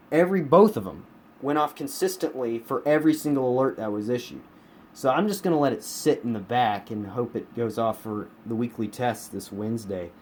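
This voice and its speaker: A man's voice: medium pitch, darkish tone. A man from the United States giving a casual, unscripted review as a collector, often repeating himself for emphasis. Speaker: radio collector presenting a collection. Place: indoors in a home room. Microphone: handheld camera, speaker behind it. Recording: clear background room noise.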